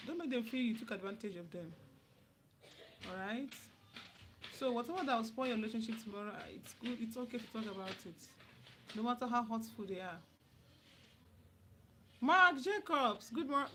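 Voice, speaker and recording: The sort of voice heard on an evening phone livestream from someone's room: a Nigerian woman, fairly high, directly addressing viewers and repeating herself over faint noise. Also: animated, a little fast. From Anambra State, Nigeria. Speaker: livestream host giving relationship advice. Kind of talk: casual live chat, greeting viewers. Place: dim room at home.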